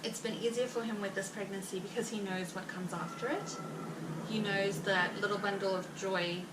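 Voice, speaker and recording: A woman's voice: mid-range voice, steady tone. Chatty Afrikaans-accented woman, roomy distant sound. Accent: South African Afrikaans. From South Africa. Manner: chatty and rambling, with frequent fillers and quick laughs. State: heavily pregnant. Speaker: vlogger. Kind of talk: casual chat to viewers. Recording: roomy, distant mic with background noise.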